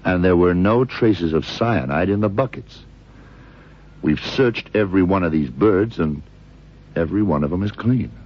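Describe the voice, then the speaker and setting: An actor playing a shrewd, methodical detective in an old radio crime drama, gravelly and deliberate, musing as he questions. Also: low voice, expressive. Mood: working it out. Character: shrewd, methodical middle-aged American male detective. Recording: dull vintage radio drama recording.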